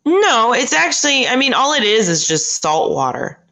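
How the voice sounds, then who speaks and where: A woman who works as a late-night radio host, chatting as a podcast guest, halting and laughing often as she talks. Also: a little high, very animated, sharp tone. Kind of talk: casual chat.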